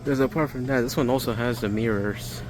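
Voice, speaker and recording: A man's voice: mid-range voice, fairly quick, low-quality recording. Casual man narrating a tour, faint music behind. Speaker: vlogger giving a store tour. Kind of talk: casual, unscripted video tour commentary. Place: inside retail stores, later outside. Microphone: handheld camera. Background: faint store music.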